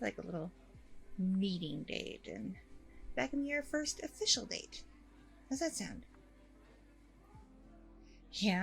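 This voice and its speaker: A woman's voice: mid-range voice, gravelly, rough recording. A woman playing a friendly, flustered, flirty single mom on a first date in an ASMR roleplay, chatty, hesitant and gushing. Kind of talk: ASMR roleplay acting, one side of a dialogue.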